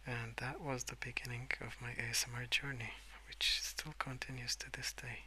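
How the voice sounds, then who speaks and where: A young adult man softly rambling in a breathy half-whisper for ASMR, relaxed and unhurried, close to the microphone. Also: mid-range voice, slight background noise, rough recording. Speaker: ASMR video creator. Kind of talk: soft-spoken ASMR rambling while colouring.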